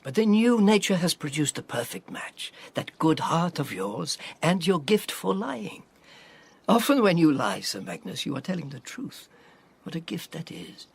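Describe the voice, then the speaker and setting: Husky, commanding spy handler. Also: male voice, on the high side, sing-song, heavily acted. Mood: intimate, persuasive. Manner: measured, theatrical, commanding. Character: shrewd, commanding male Czech spy handler, worldly and sardonic. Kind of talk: radio drama acting.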